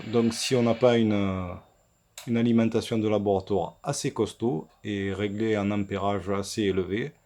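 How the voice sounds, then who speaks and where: A man presenting an unscripted workshop demonstration as a repair technician, explaining things in a halting, hesitant way. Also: fairly low pitch, darkish tone, slight background noise. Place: indoor electronics workshop.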